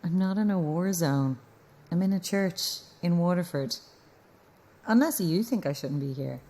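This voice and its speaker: An actress playing a blunt, sardonic Irish news reporter in a naturalistic audio drama. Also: female voice, lowish voice, animated, unhurried, mellow. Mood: dry, challenging. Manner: dry, sardonic.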